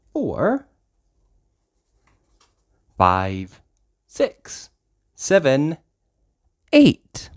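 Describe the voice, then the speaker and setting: A host reading a counting picture book aloud to young children in a sing-song, exaggerated way. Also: medium pitch.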